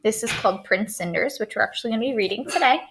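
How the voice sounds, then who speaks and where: A woman's voice: on the high side, expressive, fast, background noise. A woman giving a remote writing lesson as a schoolteacher, speaking directly to her pupils, explaining and asking questions. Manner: instructional, addresses listeners directly with questions. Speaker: elementary school writing teacher. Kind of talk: remote lesson with storybook read-aloud.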